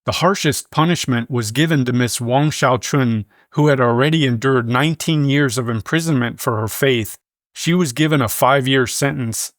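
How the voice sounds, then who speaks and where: A man reading scripted news in a measured, formal manner. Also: medium pitch, no echo, clean studio sound. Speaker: news reader.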